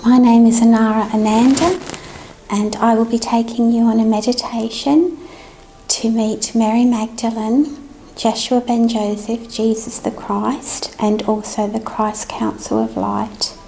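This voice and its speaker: A woman leading a guided meditation in a gentle, soothing, unhurried manner. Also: a little high, slight background noise, poor audio. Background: music.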